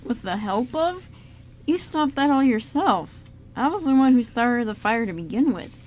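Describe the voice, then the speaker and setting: A woman's voice: fairly high pitch, expressive, moderate pace, darkish tone. A woman playing a curious, puzzled young man in an amateur radio drama, speaking in a casual, hesitant way. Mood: uneasy, curious. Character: curious, puzzled young male friend of time traveler. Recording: noisy amateur radio show recording.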